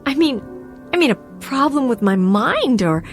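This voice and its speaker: A high, very animated voice plays an anxious woman traveler in a radio play. She is polite but flustered, hesitating and stammering. Music runs faintly beneath her. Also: normal speed. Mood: nervous, defensive. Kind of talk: audio drama acting.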